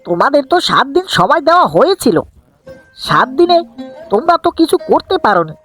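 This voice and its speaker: A woman's voice: high-pitched, very animated, brisk, fairly bright tone, faint music behind. A woman voicing a stern, heartless village rent collector in a cartoon fairy tale.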